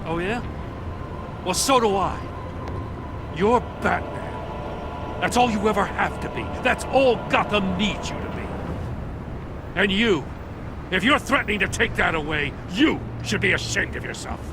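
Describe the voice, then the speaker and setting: A man playing a gruff, loyal, righteous police lawman in a video game, speaking in an earnest, forceful way. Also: sits high, lively intonation, measured pace, long pauses, music in the background. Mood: earnest, reproachful.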